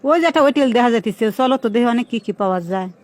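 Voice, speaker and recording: A woman's voice: a little high, animated, rapid-fire, darkish tone, no room sound, close to an everyday voice. A woman with a Pabna accent from Bangladesh voicing a greedy, bossy, nagging wife in a comic cartoon dub. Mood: impatient, nagging. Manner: nagging, blunt. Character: demanding, greedy, bossy wife who loves eating. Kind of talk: comic cartoon dubbing in dialect.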